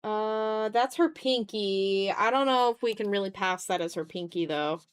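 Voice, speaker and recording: A woman's voice: mid-range voice, moderate pace, clean tone. A woman streamer chatting informally on a livestream while she draws, often muttering to herself as she works. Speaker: streamer hosting an art stream. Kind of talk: casual livestream chat while drawing.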